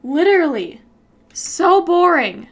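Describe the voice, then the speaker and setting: A woman's voice: very high-pitched, big pitch swings, slow. A young American woman chatting casually and rambling while she works on an animation, with lots of giggles. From United States. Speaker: YouTuber animating while streaming. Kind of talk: casual chat while working.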